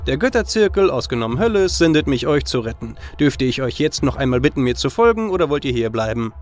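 A man's voice: mid-range voice, very animated, quick pace, lightly acted. A man playing a cocky, daredevil hero in a fantasy audio drama, speaking formally with flippant asides. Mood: annoyed. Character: cocky, daredevil young male fantasy hero, easily annoyed. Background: music.

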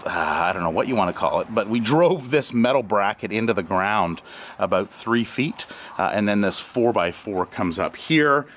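A man's voice: on the low side, expressive, normal speed, a bit bright. A middle-aged man casually walking through his farm, explaining repairs with many fillers and light chuckles, recorded outdoors on a handheld camera. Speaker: farm vlogger. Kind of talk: casual walkthrough commentary. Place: outdoors on a farmyard.

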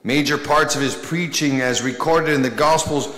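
A man in his thirties, a priest delivering a sermon from a pulpit, speaking with slow, deliberate emphasis and pauses. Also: fairly high pitch, fairly bright tone. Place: large church, at a pulpit. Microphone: pulpit microphone. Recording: echoey church with long reverb.

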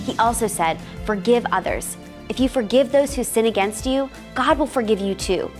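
A woman reading a children's Bible story narration in a calm, measured way. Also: on the high side, faint music behind.

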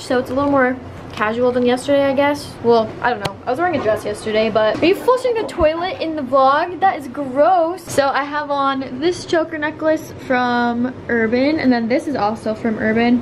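A woman's voice: high-pitched, normal speed, a little hiss or hum. A young woman in her twenties chatting casually to a handheld camera, bubbly and rambling with lots of fillers. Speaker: vlogger. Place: hotel room and busy convention grounds. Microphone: handheld camera at arm's length.

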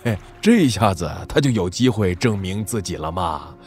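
A man's voice: sits low, expressive, moderate pace, a little mellow, soft background music. A man playing a shrewd, wry military strategist in a wuxia audio drama, speaking in an analytical, sardonic way. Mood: wry, amused. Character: shrewd, wry male military strategist weighing rival commanders.

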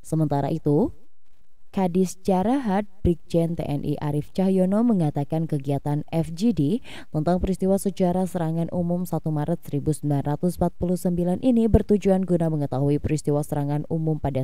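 A woman's voice: low voice, a little fast, mellow, dry recording. A woman reading a scripted news report as a voice-over.

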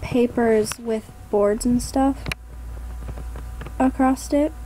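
A woman casually narrating her gameplay voiceover, a bit hoarse from a coming cold, hesitant with many ums and trailing off. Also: fairly high pitch, warm tone, a little hiss or hum, poor audio. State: hoarse, getting sick. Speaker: gaming vlogger.

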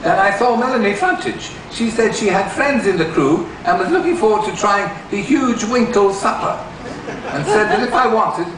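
A man's voice: high voice, expressive, normal speed, voice pushed up. An older man voicing a silly, naive, childlike man in a comic storybook reading, eager and chirpy. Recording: echoey theatre, distant camera mic, loud noise.

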